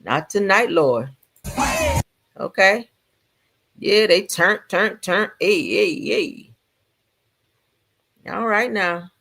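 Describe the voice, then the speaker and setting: A woman hosting a gossip livestream, giving casual reaction commentary in a sassy, colloquial way with drawn-out asides. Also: mid-range voice, lively intonation, vocal fry, a bit bright.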